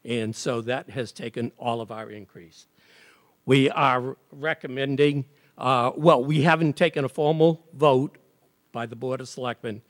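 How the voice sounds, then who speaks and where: A man's voice: mid-range voice, a little slow. Matter-of-fact man in a reverberant hall. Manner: matter-of-fact, reporting figures. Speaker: town selectman. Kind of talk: formal public comment.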